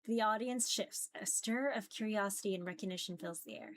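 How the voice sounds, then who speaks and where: A woman's voice: medium pitch, fairly quick. A woman streamer reading a visual novel aloud in a casual live style, often stumbling over words and teasing herself.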